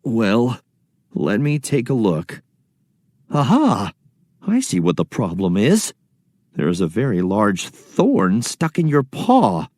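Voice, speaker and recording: A man's voice: on the low side, huge pitch swings, slow, a little mellow, no echo, exaggerated voice. A man playing a kind, earnest runaway slave in a children's readers theatre, with an exclamatory delivery. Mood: helpful. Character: Androcles, kind runaway slave, shaky but helpful.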